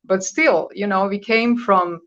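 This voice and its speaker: A woman's voice: medium pitch, measured pace, fairly bright tone, muffled recording. A Croatian-born woman in her fifties, a native Croatian speaker, answering as a guest in a radio interview with frequent hesitations. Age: 50s. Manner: conversational, searching for words, with hesitations.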